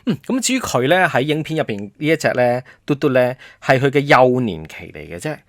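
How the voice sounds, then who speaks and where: A Hong Kong Cantonese man hosts a casual quiz with very varied, animated intonation. He explains things in a playful, prompting way, tossing out questions and hints. The sound is dry, with little room on it. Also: mid-range voice, fairly quick, no echo. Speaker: radio host and quizmaster. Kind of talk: casual promotional quiz chat.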